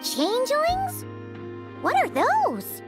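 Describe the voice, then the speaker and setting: Tiny squeaky fairy girl, extremely high, wildly swooping pitch, inquisitive game sidekick, loud music behind. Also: huge pitch swings, drawn-out words, full character voice. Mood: curious, excitable. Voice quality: squeaky, childlike. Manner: exclamatory, inquisitive. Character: tiny, childlike, curious fairy companion girl. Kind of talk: scripted video game character dialogue.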